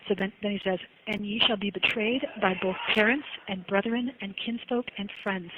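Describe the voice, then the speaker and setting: Casting: female inmate on a dull, noisy phone line, earnest testifying delivery, fairly flat intonation, scripture reading. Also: medium pitch, moderate pace. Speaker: jail inmate making a phone call. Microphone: telephone handset. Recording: noisy, muffled recorded jail phone call.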